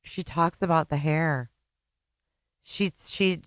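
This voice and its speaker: A woman giving casual reaction commentary on old interview clips, chatty with quick asides. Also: sits low, dry recording, studio quality.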